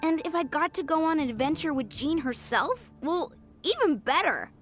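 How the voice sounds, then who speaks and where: An eager young knight trainee. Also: female voice, high voice, very animated, normal speed, fairly bright tone. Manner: earnest, enthusiastic, a little flustered. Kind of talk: video game voice acting. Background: game music.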